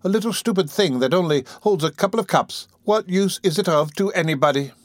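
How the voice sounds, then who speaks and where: A woman's voice: lowish voice, lively intonation, brisk, no room sound, studio quality, pitched above the performer's own voice. A man voicing a fussy, self-pitying middle-aged workhouse matron who talks peevishly and sharply. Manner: peevish, sharp, then sugary.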